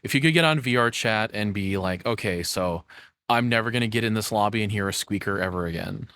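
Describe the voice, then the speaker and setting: Low-voiced man, casual and sarcastic. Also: fairly quick, fairly bright tone, no echo. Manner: profane, sarcastic, rambling. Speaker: content creator reacting to a video. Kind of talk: casual reaction commentary.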